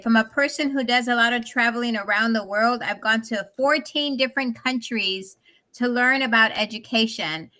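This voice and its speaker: Bright-voiced woman hosting a panel. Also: on the high side. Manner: earnest, encouraging, emphatic. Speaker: talk show co-host.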